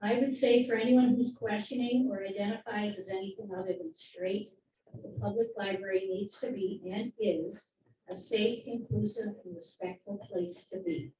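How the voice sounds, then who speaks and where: A middle-aged woman from Michigan giving an earnest, steady public comment at a community meeting. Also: medium pitch, normal speed. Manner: earnest, steady advocacy speech. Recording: echoey room, far from the mic, faint noise.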